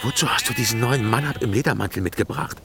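An actor playing an embittered, defiant herder at breaking point in a radio drama, bitter, explosive and sarcastic. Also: male voice, mid-range voice, fast, gravelly, close to an everyday voice. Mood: furious, defiant.

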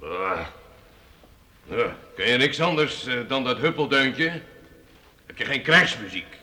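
An actor playing a grim, suspicious knight and castle lord in a radio play, curt and demanding, with grunting hesitations. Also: male voice, medium pitch, lively intonation, takes it slowly, bright, forceful tone, exaggerated voice. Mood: grumpy, impatient. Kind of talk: old radio play acting. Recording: reverberant hall with faint noise.

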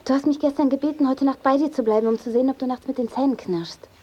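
Actress as firm caring female friend, radio play, insistent, slightly high mellow voice, noisy ambience. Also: quick pace, dull audio, natural voice. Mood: concerned, urgent. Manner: direct, insistent. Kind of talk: naturalistic German radio drama acting.

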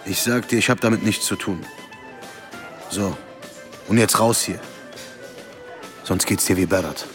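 A man's voice: fairly low pitch, a little slow, pauses often, a bit bright. Radio drama tough guy: blunt, mocking street racer from Lebanon, casual threat, music behind the voice. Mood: mocking, menacing. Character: cocky, menacing street-racing man from Lebanon. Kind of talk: naturalistic German radio drama acting.

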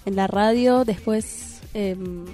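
A woman's voice: mid-range voice, takes it slowly, mellow. A woman with a Rioplatense Argentine accent, co-hosting a radio show in a casual chatty style. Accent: Rioplatense Argentine Spanish. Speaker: radio co-host. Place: community radio studio. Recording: music behind the voice. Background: music.

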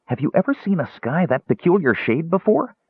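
A man voicing an excitable, childlike little kender who rambles eagerly in a storybook reading. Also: medium pitch, moderate pace, husky, no room sound, phone-quality sound, pitched above the performer's own voice, full character voice. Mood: excited. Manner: rambling, breathless, eager, digressive.

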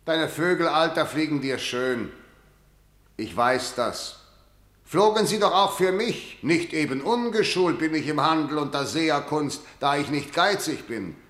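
An actor playing a proud, scornful, unyielding king in a radio verse drama, with a measured, declamatory delivery. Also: a little high, animated, fairly bright tone. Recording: roomy, reverberant radio play recording.

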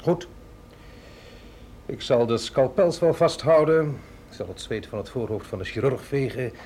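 A man's voice: medium pitch, expressive, unhurried, a little mellow, a little hiss or hum, lightly acted. An actor playing a capable, reluctant but dutiful male agent in a radio drama, with a dry, wry manner. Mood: wry, resigned. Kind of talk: Dutch radio drama acting.

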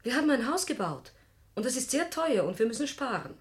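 A woman's voice: sits high, very animated, brisk. A woman playing a strict middle-aged mother in a radio play, insistent and exasperated as she explains herself. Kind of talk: German radio play acting.

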